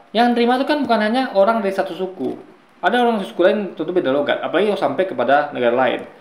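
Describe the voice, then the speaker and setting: A man's voice: sits high, roomy sound. A man giving argumentative commentary on a video, lecturing his viewers and often calling them guys.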